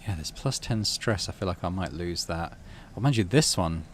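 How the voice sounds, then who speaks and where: A man's voice: sits low, lively intonation, normal speed, a little hiss or hum. A man giving casual playthrough commentary on a game, thinking aloud and reading its story text out. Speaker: gaming channel host.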